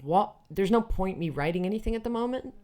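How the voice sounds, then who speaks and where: A Dutch comedian with an American-tinged accent, talking in a relaxed, rambling way and trailing off softly at the ends of thoughts. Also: female voice, medium pitch, a little fast. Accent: American-tinged English. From Netherlands. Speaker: guest comedian. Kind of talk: casual podcast chat.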